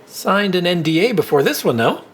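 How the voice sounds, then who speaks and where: Friendly, expressive man at reception. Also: high-pitched, brisk. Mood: easygoing, curious. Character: friendly, easygoing male corporate receptionist named Pete. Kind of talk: naturalistic audio drama acting.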